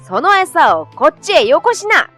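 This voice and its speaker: A woman voicing a menacing male kite bird in a children's storybook, shouting rough threats, with music behind. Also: sits high, sing-song, moderate pace, exaggerated voice. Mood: menacing, angry. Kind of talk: children's animated storybook dialogue.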